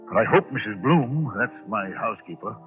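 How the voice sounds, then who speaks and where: An actor playing a wealthy, irritable, middle-aged gentleman unravelling with dread, in agitated, theatrical 1940s radio style. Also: fairly low pitch, expressive, normal speed, faint music behind, lightly acted. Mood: brooding unease. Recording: tinny old 1940s radio recording.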